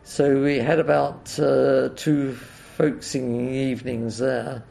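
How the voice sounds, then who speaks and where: An older man with a deeply gravelly, croaky voice reminisces slowly and haltingly, filling pauses with uhs and ums. His tone is dark and mellow, with a faint hiss of background noise. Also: level tone. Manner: hesitant, full of uhs and ums. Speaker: interviewee. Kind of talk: oral history reminiscence.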